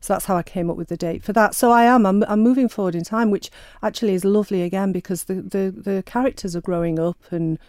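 Warm-voiced woman, chatty author. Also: medium pitch, steady tone, quick pace, few pauses, dry recording, studio quality. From Sheffield, England. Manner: chatty, hesitant with many ums and restarts. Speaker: author guest. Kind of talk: radio interview.

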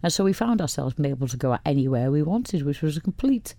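Older woman from Wales, radio guest, mellow and dry-sounding, chatty and rambling. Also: sits low, animated, quick pace, dry recording. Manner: chatty, rambling with many restarts. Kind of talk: casual interview chat.

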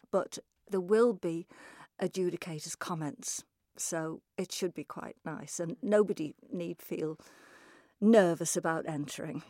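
A woman with a Manx accent, a festival chairperson, briskly and clearly explaining new classes with touches of humour. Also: mid-range voice, darkish tone, dry recording, clean studio sound. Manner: brisk, informative, lightly humorous. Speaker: chairperson of a music, speech and drama festival. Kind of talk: interview answers.

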